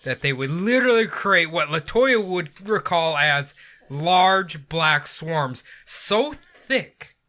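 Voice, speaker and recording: A podcast host, a man with a very bright, sharp voice, tells a spooky story from notes. His pitch swings a lot and sits a little high, and he laughs often and breaks off into jokey asides. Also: lively intonation, slow pace. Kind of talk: casual paranormal storytelling.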